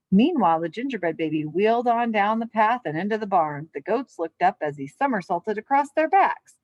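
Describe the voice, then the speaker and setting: A woman's voice: mid-range voice, no echo, highs cut off. A woman with a mid-range voice talks as a teacher of young children. She keeps her wording simple, as in a lesson or storybook read-aloud. The recording is dry, with little room sound. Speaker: elementary physical education teacher.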